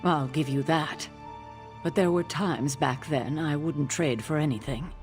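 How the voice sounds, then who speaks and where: A woman's voice: deep voice, darkish tone. Stern older guardian woman, music behind. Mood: regretful. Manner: grave, deliberate, authoritative. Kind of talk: video game cutscene acting.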